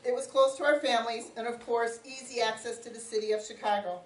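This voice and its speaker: Middle-aged woman, loud and plainspoken, slightly high voice, heard from across a roomy hall. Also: steady tone, quick pace. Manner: loud, plainspoken, self-joking. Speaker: township supervisor candidate. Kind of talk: opening statement at a community forum. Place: community meeting room. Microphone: no microphone, picked up from a distance. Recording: roomy, distant, faint room noise.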